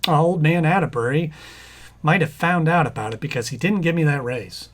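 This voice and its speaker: A man playing a husky, fussing bank-clerk husband. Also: fairly high pitch, brisk, natural voice. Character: exasperated young male bank clerk husband. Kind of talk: old-time radio comedy acting.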